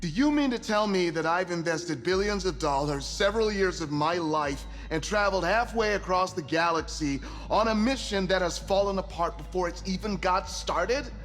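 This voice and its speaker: An actor playing an arrogant, irritable billionaire mission backer in a video game, sarcastic, profane and demanding. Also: male voice, high voice, moderate pace, few pauses, a bit bright. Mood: outraged. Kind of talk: cinematic video game acting. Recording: reverberant hall, music underneath.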